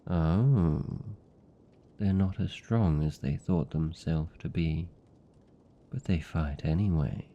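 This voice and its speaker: Man voicing a very deep, slow British vampire. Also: exaggerated voice. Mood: brooding, musing. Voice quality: gravelly, dark, low. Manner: slow, hushed, deliberate, teasing. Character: seductive possessive male vampire captor, tender yet menacing. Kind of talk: intimate ASMR fantasy roleplay.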